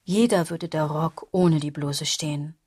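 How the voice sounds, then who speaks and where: An actress playing a woman's sardonic, bitter inner voice in a literary radio drama, with a husky sound. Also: deep voice, a little slow, a little mellow, no echo, clean studio sound. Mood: wry.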